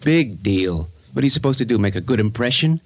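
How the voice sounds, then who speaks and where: An actor playing the blunt, taunting, impatient inner self of a grieving young man in a radio drama, with a husky voice. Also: on the low side, expressive, fairly quick. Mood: impatient goading. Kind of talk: old-time radio drama acting.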